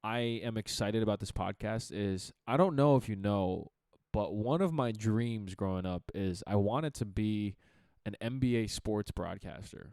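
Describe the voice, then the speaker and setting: Young guy co-hosting a chill podcast, deep radio voice, smooth, laughs a lot. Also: a little slow, no room sound, clean studio sound. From Chicago area. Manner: animated, laughs often, talks in bursts with restarts. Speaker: podcast co-host. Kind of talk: casual podcast chat.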